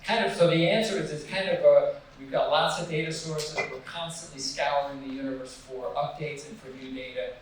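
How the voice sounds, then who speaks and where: A man's voice: on the high side, steady tone, moderate pace, few pauses, crowd noise. A man presenting his nonprofit's tool and answering audience questions in a conversational, restarting manner. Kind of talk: public Q&A presentation. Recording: echoey hall from far off.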